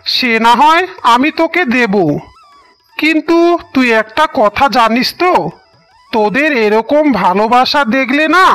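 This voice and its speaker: A woman voicing an affectionate older village shopkeeper uncle in a cartoon story, talking in a fatherly, gently teasing way. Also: high-pitched, smooth. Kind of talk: Bengali cartoon story dialogue.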